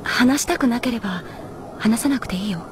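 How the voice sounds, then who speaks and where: Woman voicing a high, gentle young boy. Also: lively intonation, fairly quick, noisy background, close to an everyday voice. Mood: tender, reassuring. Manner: soft, tender, reassuring. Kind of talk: anime-style audio drama acting.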